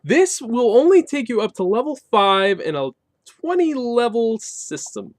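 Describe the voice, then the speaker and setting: A man's voice: extremely high pitch, very animated, a little slow, dry recording, clean studio sound. A man hosting a casual, unscripted review, explaining things conversationally with wry asides. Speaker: reviewer and channel host.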